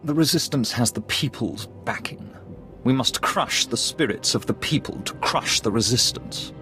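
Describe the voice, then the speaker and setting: An actor playing a refined, coldly calculating Imperial lieutenant in a video game, precise and smug in delivery. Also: male voice, medium pitch, lively intonation, moderate pace. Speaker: military officer character. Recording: loud music behind the voice. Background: music.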